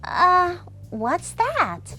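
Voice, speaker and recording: A woman's voice: extremely high pitch, wildly swooping pitch, extremely slow, sharp tone, soft background music, voice pushed up, heavily acted. A woman voicing a polite, curious young boy in a children's storybook, questioning and tentative.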